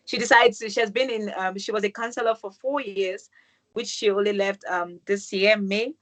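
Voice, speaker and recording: A woman, from Manchester, hosting an interview show and effusively praising her guest. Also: medium pitch. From England, Manchester. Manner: effusive, keeps calling things beautiful, many "um"s. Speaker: host. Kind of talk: interview host wrap-up.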